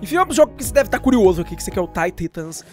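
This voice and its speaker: A man's voice: high-pitched, big pitch swings, rapid-fire. A Brazilian man giving a casual, slangy, jokey voice-over as host of a gaming channel. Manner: chatty, jokey, informal. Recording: voice-over with music behind.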